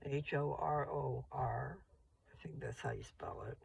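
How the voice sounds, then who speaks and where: Older woman with a rough, gravelly voice. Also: extremely low pitch, animated. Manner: meandering, slow, chatty. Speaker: vlogger. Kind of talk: rambling casual vlog. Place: parked car.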